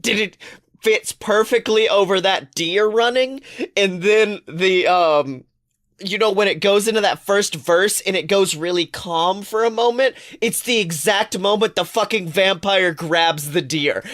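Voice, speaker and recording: Male podcast co-host, slightly high voice, excitable and playful, casual comic storytelling. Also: a little slow. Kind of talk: casual comedic chat.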